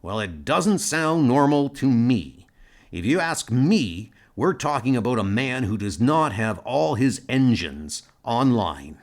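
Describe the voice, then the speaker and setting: A man's voice: mid-range voice, sing-song, slow. Crusty, sardonic ship's doctor, acted by a man. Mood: exasperated. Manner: sardonic, grumbling, emphatic. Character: crusty, exasperated male starship doctor, middle-aged. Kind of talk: amateur comic-book audio dramatization.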